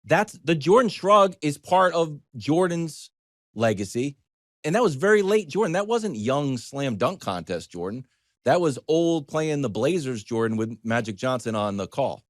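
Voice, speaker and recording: A man's voice: on the high side, normal speed, no echo. American man telling sports stories, dry sound. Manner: animated, digressive, self-deprecating. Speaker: sports TV commentator. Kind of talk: conversational sports talk storytelling.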